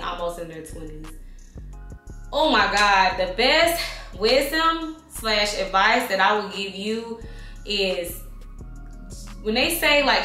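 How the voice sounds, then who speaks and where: A woman's voice: a little high, bright, forceful tone, roomy sound. A woman in her thirties answering viewer questions in a casual vlog, candid and slangy, calling listeners honey and baby. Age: 30s.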